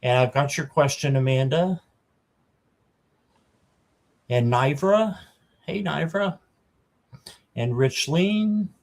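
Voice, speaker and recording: A man in his fifties hosting a casual livestream, greeting viewers by name and filling pauses with ums. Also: on the high side, vocal fry, a little mellow. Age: 50s. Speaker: livestream host.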